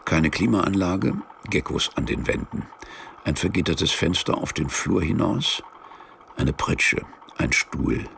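A man narrating a scripted literary radio drama as a wry storyteller, measured and deadpan. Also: low voice, gravelly, slight background noise, heavily acted. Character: dry, wry storyteller of a travel tale.

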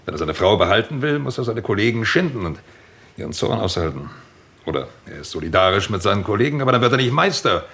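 Persuasive, talkative investigator's sidekick in radio crime play; deep voice. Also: male voice, very animated, quick pace, a bit bright. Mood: doubtful. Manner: talkative, persuasive, builds arguments step by step. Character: insistent, theorizing male colleague of a detective. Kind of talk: German radio crime drama acting.

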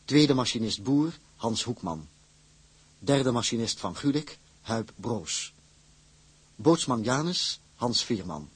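A man's voice: medium pitch, animated, takes it slowly, slightly rough, no echo. A man reading scripted credits formally as a radio announcer.

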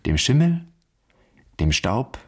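An actor playing a son's challenging, bitter inner voice in a literary radio drama, with terse, poetic lines. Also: male voice, mid-range voice, sing-song, slow, breathy edge, heavily acted. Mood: wistful.

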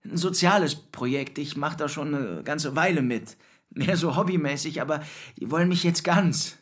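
A man's voice: fairly high pitch, moderate pace, lightly acted. An actor playing a defiant, boastful young man, a restless dropout, talking colloquially and insistently in a radio drama. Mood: eager, boastful. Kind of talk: German radio play acting, monologue and dialogue.

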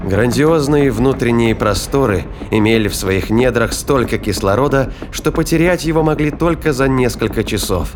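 A man's voice: medium pitch, normal speed, hardly any pauses, music in the background. A man narrating a science fiction thriller as scripted, dramatic audio fiction.

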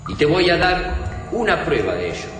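A man's voice: fairly high pitch, big pitch swings, moderate pace. An Argentine man playing a defiant, cunning human resister in a science fiction radio drama. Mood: taunting, persuasive. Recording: very echoey hall, distant, music behind.

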